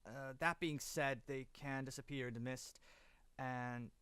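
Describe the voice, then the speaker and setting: A man's voice: medium pitch, fairly even intonation, measured pace, a bit bright, smooth, no room sound, close to an everyday voice. A man playing a carefree, cheeky teenage slayer prince in an amateur audio drama, talking flippantly. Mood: hesitant.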